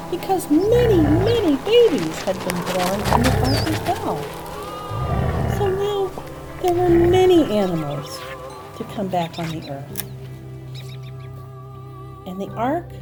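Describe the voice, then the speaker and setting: A woman telling a story to young children in an expressive, dramatic manner, with emphatic repeated phrases. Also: mid-range voice. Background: music and sound effects.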